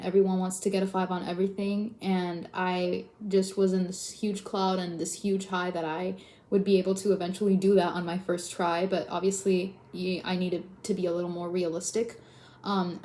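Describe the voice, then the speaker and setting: A teenage woman, a high school student and native Spanish speaker, chatting casually as she records a vlog. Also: mellow. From moved to the US from abroad. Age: teens, high school student. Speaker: student vlogger. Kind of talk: casual chat to camera. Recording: roomy echo, slightly dull sound.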